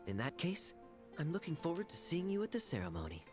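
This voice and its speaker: An actor playing a suave, teasing knight captain in a video game, with a sly, smooth manner. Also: male voice, a little high, wildly swooping pitch, quick pace, loud music behind the voice. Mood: amused, courteous.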